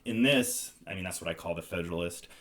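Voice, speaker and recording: A man's voice: low-pitched, quick pace, a bit bright. A man hosting a podcast, casually talking through board game reviews. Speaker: podcast host.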